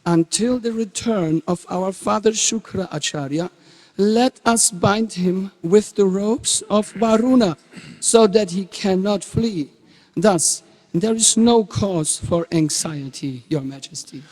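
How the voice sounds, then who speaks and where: A long hall reverb surrounds a man playing a dutiful court priest-teacher in a stage reading. The voice is high for a man, formal and reverent toward his king. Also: a little mellow. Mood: obsequious. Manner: formal, deliberate.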